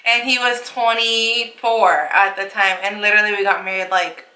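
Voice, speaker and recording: A woman's voice: mid-range voice, fairly even intonation, moderate pace, sharp tone, roomy sound, distant mic, poor audio. A woman in her twenties, a makeup artist, chatting casually with her husband in a Q&A video, full of fillers and laughs. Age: 20s. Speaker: makeup artist and channel co-host. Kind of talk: casual couple Q&A chat.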